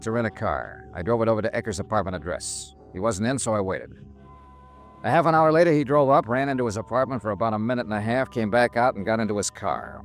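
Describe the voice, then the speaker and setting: A low-pitched, hard-boiled investigator in a vintage radio detective drama. He is clipped, dry and matter-of-fact, both narrating and questioning, with music in the production. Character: hard-boiled male insurance investigator, cool and probing. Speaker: narrator and lead character.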